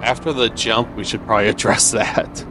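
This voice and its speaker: A man's voice: fairly low pitch, steady tone, normal speed. Man doing casual game commentary over noisy ambience. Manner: thinks aloud, asking himself questions. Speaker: gamer playing and commenting on a video game.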